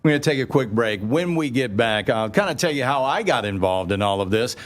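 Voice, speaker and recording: This is a male radio morning host with a mid-pitched, very lively voice. He talks in a folksy, conversational way, like someone swapping stories live on air. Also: brisk. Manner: folksy, animated anecdote telling with acted-out dialogue. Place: on a stage before a live studio audience.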